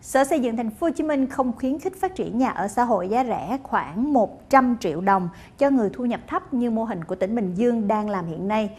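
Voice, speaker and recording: A woman in her thirties anchors the news in a studio. Her voice sits fairly high with a light breathiness. She addresses viewers in a formal, composed anchor style. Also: expressive, moderate pace, hardly any pauses, a little mellow, slightly rough.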